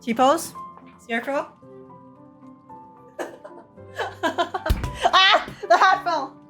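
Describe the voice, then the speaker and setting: A giggly, excitable woman giving casual reaction commentary as she plays a game. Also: very high-pitched, extremely slow, soft background music.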